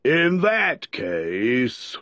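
A man as a slow, solemn old-world dodo. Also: medium pitch, huge pitch swings, gravelly, fairly bright tone, breathy edge, dry recording, pitched above the performer's own voice, exaggerated voice. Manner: solemn, pompous, drawn-out speech-making. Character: solemn, ponderous, self-important dodo.

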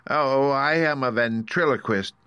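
A man's voice: mid-range voice, unhurried, sharp tone, clean tone, no room sound, lo-fi sound, pitched above the performer's own voice. A man voicing a meek, pleading little old fake wizard with a trembling voice, in a storybook reading. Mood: confiding.

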